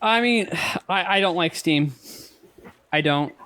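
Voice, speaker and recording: Man, fairly high voice, podcast co-host, casual, halting delivery. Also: animated, pushed and bright.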